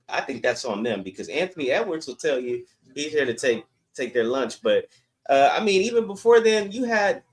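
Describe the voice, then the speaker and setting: A man co-hosting a sports podcast, giving his opinions in casual, conversational debate. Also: a little high.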